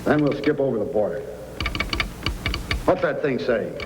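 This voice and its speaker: An actor playing a greedy, irritable, cold-blooded crook boss in an old-time radio drama. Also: male voice, mid-range voice, lively intonation, normal speed, close to an everyday voice. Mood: cold scheming. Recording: echoey, faintly noisy old radio recording.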